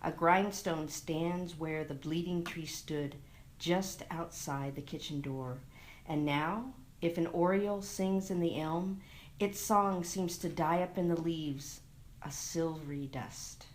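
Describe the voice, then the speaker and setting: Woman reading a story in lowish voice. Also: slow. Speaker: reader of a short story. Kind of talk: dramatic audiobook-style story reading. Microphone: lavalier microphone into a phone.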